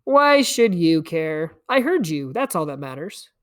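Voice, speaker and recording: A woman's voice: deep voice, big pitch swings, unhurried. A woman voicing a scrawny, grinning male stranger in a storybook, teasing and coaxing in a theatrical way. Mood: testy. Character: scrawny, grinning, wheedling stranger man, fast-talking trickster.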